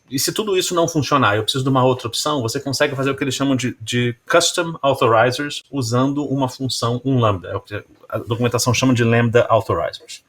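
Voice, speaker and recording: Carioca guy presenting his security research, slightly husky voice, explains step by step and keeps tossing in little check-ins with the crowd. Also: medium pitch, fairly bright tone. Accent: Carioca Brazilian Portuguese. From Rio de Janeiro, Brazil. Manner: explanatory, often tags lines with "né?" and "tá?". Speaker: conference speaker, security researcher. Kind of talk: technical conference talk.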